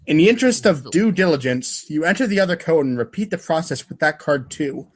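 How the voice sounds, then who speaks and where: A man's voice: on the high side, lively intonation, a little fast. A man narrating a webcomic readers' theater script in a wry way, now and then tripping over the text. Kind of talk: dramatic reading of webcomic narration.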